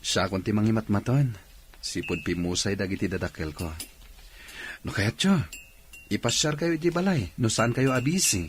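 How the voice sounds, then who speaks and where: A man's voice: fairly low pitch, expressive, normal speed, long pauses, slight background noise, close to an everyday voice. A man acting a polite, hospitable restaurant owner in an Ilocano radio drama. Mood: courteous. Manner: polite, accommodating. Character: hospitable orphaned restaurant owner, courteous young boss.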